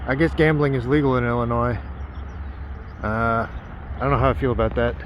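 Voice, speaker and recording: A man's voice: medium pitch, steady tone, moderate pace. A man vlogging to camera outdoors, rambling and self-doubting with many ums, his voice worn from singing. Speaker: travel vlogger. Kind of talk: casual vlog talk to camera. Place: outdoors by roads and stores. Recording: slightly dull outdoor camera audio with clear street noise.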